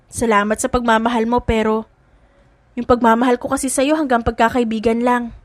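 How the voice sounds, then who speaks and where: A woman voicing a frank, caring young woman in an audiobook drama, expressive and tender. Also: on the high side, a little fast, no room sound, close to an everyday voice. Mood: gentle.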